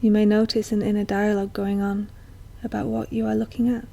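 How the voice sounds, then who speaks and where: A woman guiding a meditation in a soft, breathy, often near-whispered voice, speaking gently and slowly with long, soothing pauses. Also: medium pitch, level tone. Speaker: guided meditation teacher. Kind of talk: slow guided meditation narration.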